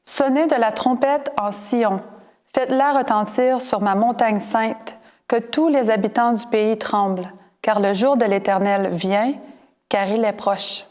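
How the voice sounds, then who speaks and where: A woman's voice: fairly high pitch, steady tone, unhurried, darkish tone. A woman in the congregation reading Bible verses aloud plainly and evenly. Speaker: congregation member reading scripture. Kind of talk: scripture reading and short answers.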